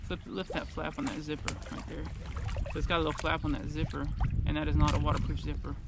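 A man's voice: on the high side, level tone, creaky, very noisy. A middle-aged man, chatting casually as he paddles a kayak down a river. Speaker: kayaking vlogger. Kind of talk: casual outdoor narration to camera. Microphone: action camera on the kayak. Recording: loud rushing water behind.

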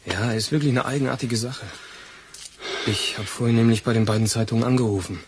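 An actor playing an eager, loyal police assistant in a crime radio play, arguing colloquially. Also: male voice, mid-range voice, fairly even intonation, fairly quick, background noise, lightly acted. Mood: eager, deducing. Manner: colloquial, argumentative.

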